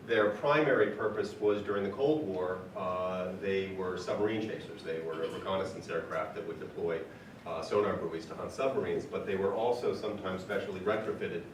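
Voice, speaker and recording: Hoarse, hesitant male artist giving a gallery talk; low voice, distant echoey room recording. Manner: hesitant, many ums and uhs. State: hoarse from a cold. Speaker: artist presenting his paintings. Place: event room with audience. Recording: echoey room, from far off, noisy.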